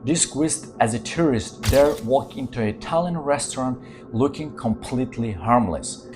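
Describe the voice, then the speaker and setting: A man's voice: mid-range voice, expressive, moderate pace, darkish tone, soft background music. A man from Ukraine, hosting his channel and telling true-crime stories dramatically in English. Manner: dramatic storytelling in non-native English. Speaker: channel host.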